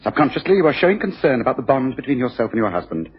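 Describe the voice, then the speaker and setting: An actor playing a shrewd, analytical detective in a radio drama, speaking in a precise, formal, probing way. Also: male voice, medium pitch, sing-song, rapid-fire, slightly rough. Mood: confident, probing. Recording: muffled lo-fi radio recording.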